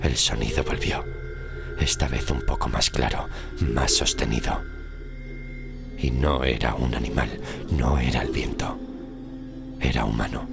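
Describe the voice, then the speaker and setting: Spanish guy reading a scary story, super deep crackly voice, breathy and suspenseful, music behind him. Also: steady tone. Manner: slow suspenseful delivery with short phrases and weighty pauses. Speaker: narrator of a horror audio story podcast. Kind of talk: scripted horror story narration.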